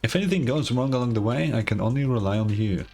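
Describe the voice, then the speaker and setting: A man's voice: medium pitch, lively intonation, fast, a little mellow. A man streaming a video game with casual, informal commentary and a wry, reactive manner. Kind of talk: casual let's-play commentary. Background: game music.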